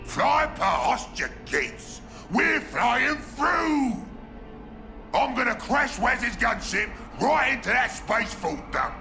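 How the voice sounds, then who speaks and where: An actor playing a brutish, boastful ork warboss who shouts in crude slang. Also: male voice, very high-pitched, sing-song, slow pace, vocal fry, faint music behind, exaggerated voice. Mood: boisterous.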